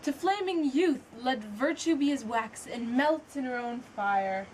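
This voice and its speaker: Woman doing an anguished shakespeare prince, accusing his mother, slow dramatic verse, highish voice. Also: animated, darkish tone, slight background noise. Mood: scornful, outraged. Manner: declamatory, impassioned, deliberate. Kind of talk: stage Shakespeare monologue acting.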